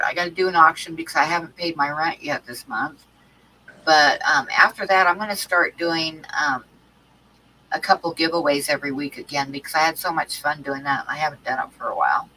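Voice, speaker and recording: A woman's voice: on the low side, sharp tone, slight background noise, rough recording. A woman with a stuffy cold hosting an art livestream, chatting casually with many ums and restarts. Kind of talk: casual chat to live chat.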